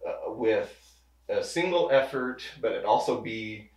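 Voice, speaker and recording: A man in his thirties speaks as an interview guest in a low voice, loose and rambling, circling back and restarting his sentences. He sits at a distance from the mic, and the room gives his voice a noticeable echo. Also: a little slow. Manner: relaxed, rambling with many restarts. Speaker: pharmacist guest. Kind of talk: casual interview.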